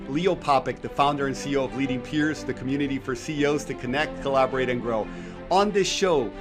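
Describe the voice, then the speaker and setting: Friendly male podcast host, faint music. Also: medium pitch. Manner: friendly, conversational questions. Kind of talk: interview hosting.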